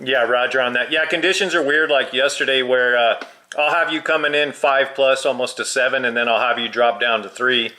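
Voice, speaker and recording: A man's voice: medium pitch, steady tone, fairly quick, sharp tone. A man who is a hobby radio operator, chatting casually with radio jargon.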